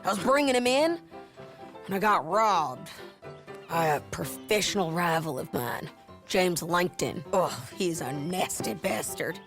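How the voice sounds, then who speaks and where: An actress playing a hardened, wry frontier bounty hunter in a western video game, drawling with an American Southern accent. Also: female voice, fairly low pitch, expressive, unhurried, over music. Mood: bitter, matter-of-fact.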